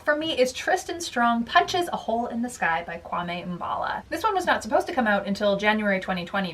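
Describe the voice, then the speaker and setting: A woman with a medium-pitched voice chats in an informal vlog style. She sounds conversational and emphatic as she gives her opinions. The microphone picks her up from a little distance. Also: fairly bright tone. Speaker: book reviewer and vlog host. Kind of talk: casual book-tag vlog, listing picks and opinions.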